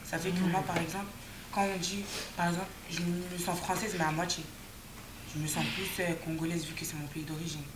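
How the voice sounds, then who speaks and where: Low-voiced teen girl, measured talk. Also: flat delivery. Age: teens. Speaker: student in a group discussion. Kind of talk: casual debate. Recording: echoey room with background noise.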